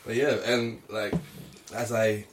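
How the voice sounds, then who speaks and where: A man's voice: lowish voice, little pitch movement, takes it slowly. A man in his mid-twenties chatting casually, playful and self-mocking. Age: 20s. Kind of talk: casual chat. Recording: roomy, slightly distant mic with faint noise.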